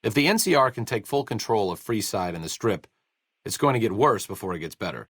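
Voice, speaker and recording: A wry American doctor from a post-apocalyptic video game. His voice sits fairly low and swings expressively as he talks. He is dry, sardonic and articulate, an idealist with a sarcastic streak, recorded close and very dry. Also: very animated, brisk, slightly rough, dry recording. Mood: earnest. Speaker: video game companion character. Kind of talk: video game voice acting, conversational dialogue.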